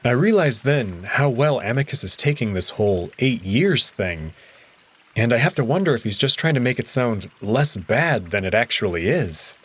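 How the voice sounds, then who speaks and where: A man reading a visual novel aloud in first person, relaxed and conversational. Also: mid-range voice, normal speed, slightly rough.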